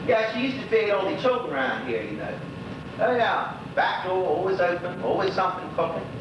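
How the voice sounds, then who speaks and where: An actor playing a lonely old widower in a stage monologue, chatting wistfully and rambling in a folksy Bermudian dialect. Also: male voice, sits high, animated, a little fast, recorded from a distance. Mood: fond. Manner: rambling, folksy, gossipy storytelling. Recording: echoey stage recording from afar, noisy, dull.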